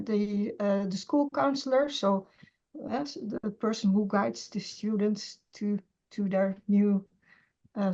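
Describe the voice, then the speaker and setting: A middle-aged woman talks as an academic guest in an interview. She hesitates often and restarts sentences, in a mellow, warm voice. The sound is dull and lo-fi, as over a video call. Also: medium pitch. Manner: hesitant, many fillers and restarts. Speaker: interview guest, scholar of Semitic languages. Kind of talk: conversational interview.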